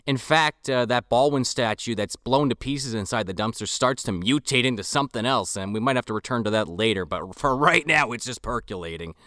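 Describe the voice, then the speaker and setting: A man's voice: medium pitch, a little fast, few pauses, pushed and bright, dry recording, clean studio sound. A man hosting a podcast, rambling through a casual movie review with profane jokes. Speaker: podcast host.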